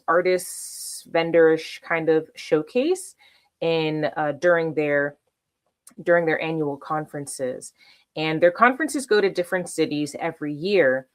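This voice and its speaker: A woman in her thirties from South Florida, talking casually to camera as an artist hosting a how-to series, chatty and personal, often checking in with the listener. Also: low voice.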